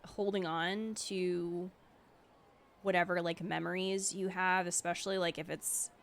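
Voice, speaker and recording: A woman's voice: fairly low pitch, level tone, unhurried. A young woman streaming her reaction to a game, emotional and rambling with many fillers, with game music behind. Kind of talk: casual reaction commentary.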